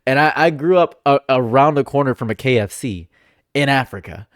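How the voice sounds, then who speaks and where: A man from Egypt hosting a casual conversation, often trailing off quietly. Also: mid-range voice, animated, brisk. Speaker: host.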